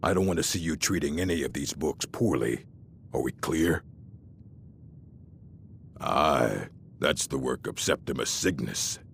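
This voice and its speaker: Casting: a grumbling, condescending old orc librarian for a fantasy game, extremely low, creaky and animated. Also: male voice, a little hiss or hum, heavily acted. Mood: stern, then wistful, grumbling. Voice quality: deep, gravelly. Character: gruff, curmudgeonly old orc college librarian, scholarly and protective. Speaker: game character. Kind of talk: video game voice acting.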